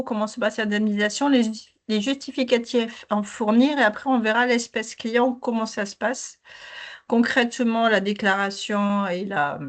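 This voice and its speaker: Hoarse woman presenting a training session. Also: mid-range voice. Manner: explanatory, steady. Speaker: claims team manager. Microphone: video call.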